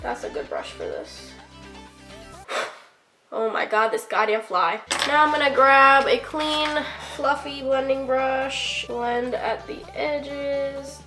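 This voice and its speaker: Bright-voiced young woman, stuffy with a cold. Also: high voice, lively intonation, slow pace, faint music behind. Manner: chatty, filler-heavy, offhand swearing. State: congested from a cold. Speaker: beauty vlogger. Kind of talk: casual makeup tutorial chat.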